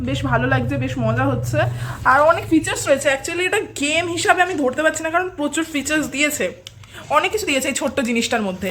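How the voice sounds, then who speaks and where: A woman's voice: high voice, a bit bright, slight background noise. A woman giving a casual, explanatory product review to viewers, mixing in English words. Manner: explanatory, addressing viewers directly. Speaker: vlog host and product reviewer.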